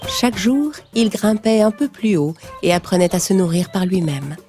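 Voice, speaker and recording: A woman narrating a scripted children's story in a measured storytelling manner. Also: medium pitch, expressive, over music.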